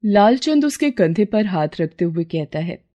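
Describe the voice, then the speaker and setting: A woman's voice: mid-range voice, big pitch swings, moderate pace, mellow, dull audio. A woman narrator telling a scripted moral tale in a storytelling style.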